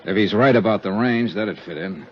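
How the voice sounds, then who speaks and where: A man's voice: on the low side, moderate pace, slight background noise, natural voice. An American man acting a tough, dogged insurance investigator in a 1950s radio detective drama, with a clipped, dry, terse delivery. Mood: terse, brooding. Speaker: lead actor and narrator. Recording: muffled 1950s radio recording, music behind.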